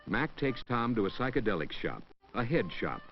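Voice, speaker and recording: A man's voice: mid-range voice, sing-song, normal speed. A man reading scripted narration for a 1960s educational film in a wry storytelling way, echoing hip slang. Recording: dull old 1960s film soundtrack with music. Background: background music.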